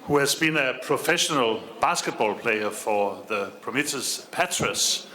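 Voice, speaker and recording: A man's voice: medium pitch, lively intonation, a little slow, pushed and bright. A man chairing a conference session, introducing speakers in a hesitant way with many fillers. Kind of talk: formal moderating.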